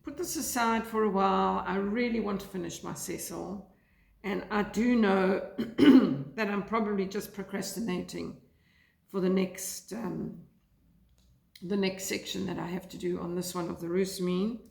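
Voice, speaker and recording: A woman from South Africa chatting casually about her knitting by a fire, rambling and hesitant with many fillers, her voice croaky today. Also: mid-range voice, steady tone, moderate pace. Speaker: knitting vlogger. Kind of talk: casual chat to camera. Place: lounge by a fireplace, indoors. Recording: echoey room.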